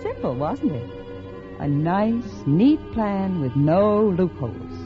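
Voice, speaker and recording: A woman playing a scheming, calculating unfaithful wife, acting in a melodramatic 1950s radio suspense style with dramatic pauses. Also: on the low side, very animated. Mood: cold, confiding. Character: scheming, calculating unfaithful wife plotting her husband's murder. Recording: dull vintage radio recording with music.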